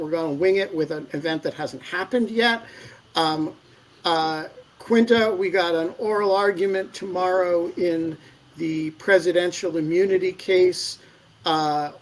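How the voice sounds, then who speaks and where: Male host, slow and offhand, ribbing the panel from a studio. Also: high voice, low-quality recording. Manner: loose, joking, hesitant with fillers. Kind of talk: live stream discussion. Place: university studio.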